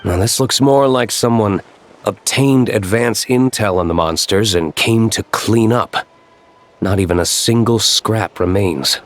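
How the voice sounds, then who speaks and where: A man's voice: fairly low pitch, a little slow, soft background music, natural voice. A man voicing a cool, curt, reserved young nobleman in a game cutscene. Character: cool aloof male nobleman, winery owner.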